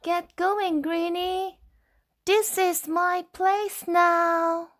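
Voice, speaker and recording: A woman's voice: very high-pitched, little pitch movement, very slow, a bit bright, no echo, studio quality, voice pushed up, exaggerated voice. A woman voicing a mischievous, bold young princess from a storybook, blunt and unladylike. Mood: bossy. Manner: blunt, bossy. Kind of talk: storybook character voice.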